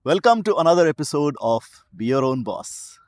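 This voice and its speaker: A man's voice: fairly high pitch, very animated, moderate pace, darkish tone, no echo, soft background music. A man hosting a podcast, opening with a scripted welcome in an announcer's voice and then asking chatty questions. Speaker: podcast host. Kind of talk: scripted intro, then casual interviewing.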